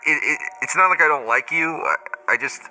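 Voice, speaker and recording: A man's voice: fairly high pitch, expressive, brisk, pushed and bright, rough recording. A man playing an exasperated, blunt, foul-mouthed caller in an improvised comedy voicemail skit. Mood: weary, apologetic. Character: exasperated, foul-mouthed man phoning his clingy friend.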